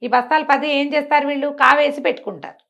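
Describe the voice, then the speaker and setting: పై స్థాయి గొంతుతో కథ చెప్పే మహిళ. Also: female voice, sits high, steady tone, fast, gravelly, a bit bright, highs cut off. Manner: colloquial, asks rhetorical questions as she goes. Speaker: storyteller. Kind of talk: conversational oral storytelling.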